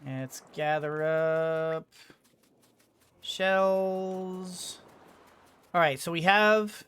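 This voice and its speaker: A game streamer with a mid-range voice and a very slow pace. The commentary is casual and rambling, with choices mulled over aloud. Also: medium pitch, steady tone, fairly bright tone, clean tone. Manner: thinking aloud, hesitant, rambling.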